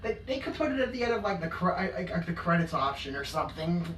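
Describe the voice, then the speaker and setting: A woman's voice: lowish voice, very animated, quick pace, fairly bright tone, recorded from a distance, a little hiss or hum. A woman hosting a casual reaction commentary in a mocking tone, often stumbling and repeating words. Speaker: commentary host.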